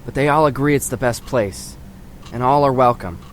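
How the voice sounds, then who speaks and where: An actor playing an earnest, assured fantasy guide who explains things in a solemn, formal way in an audio drama. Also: male voice, mid-range voice, normal speed, a little hiss or hum, natural voice. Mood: grave, firm.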